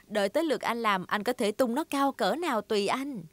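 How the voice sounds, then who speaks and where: Người phụ nữ lồng tiếng heo mẹ hiền, giọng cao. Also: female voice, fairly high pitch, lively intonation, brisk, noisy background, close to an everyday voice. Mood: teasing. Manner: gentle, instructive, teasing. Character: kind, patient, gently teasing cartoon mother pig. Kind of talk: children's cartoon dub.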